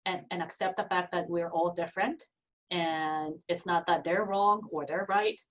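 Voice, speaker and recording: A woman in her forties with a Japanese accent talks as an interview guest, earnest and a bit halting. Her voice is fairly low for a woman. She sounds set back from the microphone. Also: fairly even intonation, a bit bright, distant mic. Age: 40s. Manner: earnest, frequent restarts and fillers. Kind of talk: casual interview.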